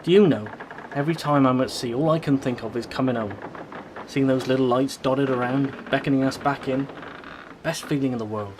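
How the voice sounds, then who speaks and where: An actor plays a sentimental Cornish fisherman in a radio drama. He is loyal and sincere, with a mid-pitched, plain-spoken delivery. A little noise lies behind the voice. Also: a little fast, natural voice. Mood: fond, wistful. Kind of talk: radio play acting.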